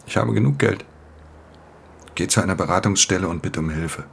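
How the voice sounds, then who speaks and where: A man's voice: a little fast, a bit bright, slight background noise. An actor playing a weary, hard-edged thief in a radio drama, speaking in a terse, subdued way as he narrates and talks. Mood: quietly threatening. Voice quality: very deep, gravelly. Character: weary, hard-edged male thief narrating his own crime story. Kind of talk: radio drama, first-person narration and dialogue.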